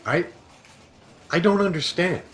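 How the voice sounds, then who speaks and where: A man playing a mild, earnest, slightly befuddled space adventurer in a plain, measured way for a comic sci-fi audio drama. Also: high voice, heavy background noise. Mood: puzzled.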